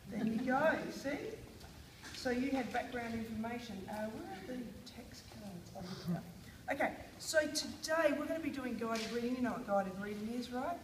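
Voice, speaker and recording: A woman's voice: on the high side, animated, normal speed, echoey room, noisy background. Australian woman teacher prompting kids. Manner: questioning, prompting, encouraging. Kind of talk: guided reading lesson with children. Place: classroom.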